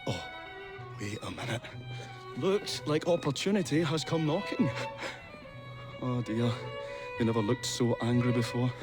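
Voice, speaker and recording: A man's voice: mid-range voice, measured pace, long pauses, lightly acted. A man playing a nervous, polite, absent-minded inventor in a game cutscene, hesitant and formal in his phrasing. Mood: flustered. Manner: hesitant, bumbling, formal old-fashioned phrasing. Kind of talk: video game cutscene acting. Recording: loud music behind the voice.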